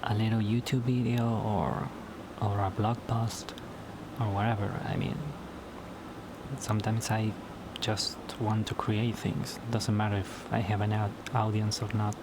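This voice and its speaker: Slow-talking man, Spanish native speaker. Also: fairly low pitch, little pitch movement, noisy background. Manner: halting, repeats words and restarts often. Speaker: YouTuber. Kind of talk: casual solo vlog talk. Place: at home late at night.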